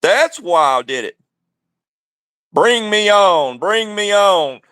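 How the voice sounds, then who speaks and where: Man, high voice, dry close sound, taunting caller. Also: lively intonation, slow pace, fairly bright tone, clear, resonant tone, dry recording. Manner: taunting, repeats the host's name. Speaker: caller on a livestream. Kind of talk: heated political argument.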